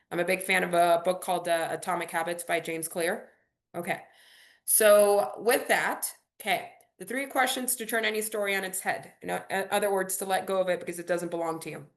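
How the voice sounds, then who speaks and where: A woman's voice: lowish voice, a bit bright. A woman in her forties, a native Spanish speaker, hosting a business coaching workshop with energy and spelling out key words. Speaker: workshop host.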